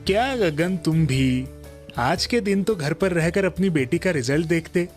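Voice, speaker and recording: A man voices a humble working villager in a cartoon fable. His voice is a little high, with a familiar, sympathetic way of talking. Music runs underneath. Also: animated, measured pace. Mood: friendly, resigned. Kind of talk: Hindi moral cartoon story dialogue.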